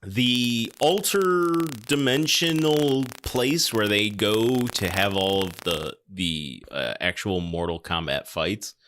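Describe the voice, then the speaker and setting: A man's voice: lowish voice, slow, hardly any pauses, a bit bright, a little hiss or hum. A man hosting a podcast, talking casually about films in rambling, exasperated explanations. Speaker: podcast host. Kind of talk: casual film discussion.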